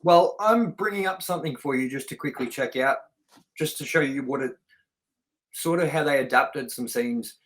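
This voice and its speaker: A man's voice: medium pitch, moderate pace, fairly bright tone, poor audio. A man co-hosting a movie review show, chatting informally and bluntly, with some swearing. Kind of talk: casual review chat.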